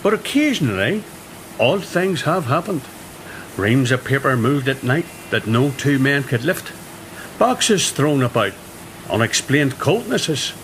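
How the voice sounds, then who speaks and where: A man's voice: medium pitch, sing-song, unhurried, fairly bright tone, a little hiss or hum, poor audio. An actor in a radio drama playing a chatty mill foreman who tells ghost stories. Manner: chatty, storytelling. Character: middle-aged foreman, friendly storyteller. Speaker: Mister Jennings, mill foreman.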